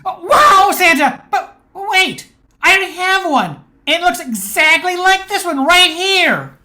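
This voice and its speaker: Woman acting an eager, high-pitched little boy. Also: animated, measured pace, pushed and bright, heavily acted. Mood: excited, pleading. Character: eager little boy who is an undercover cop. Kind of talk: amateur radio play acting.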